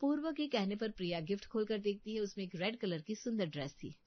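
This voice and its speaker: A woman's voice: medium pitch, expressive, fairly quick, no room sound. Woman storyteller, dry close sound. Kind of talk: scripted story narration. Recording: slightly dull, dry studio sound.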